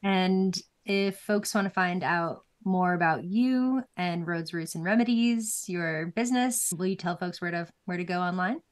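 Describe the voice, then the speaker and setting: A woman's voice: on the low side, unhurried, hardly any pauses. A woman co-hosting a podcast, giving short encouraging replies and thanking her guest over a video call from a room with bookshelves. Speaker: podcast co-host. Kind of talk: casual interview chat.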